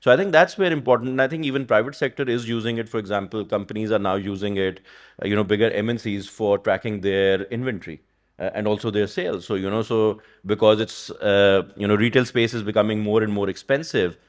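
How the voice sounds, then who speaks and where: A man's voice: on the low side, fairly even intonation, a little fast. A man from Pakistan, an economist speaking on a conference panel in a thoughtful, rambling way, often asking "right?". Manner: thoughtful, rambling, frequent "you know" and "right?". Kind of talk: panel discussion. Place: conference stage.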